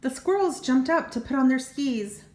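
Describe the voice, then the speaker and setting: Older woman, storybook read-aloud, expressive, slightly high pitch, echoey room. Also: moderate pace. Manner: expressive, with small asides to the child. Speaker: grandmother reading to a child.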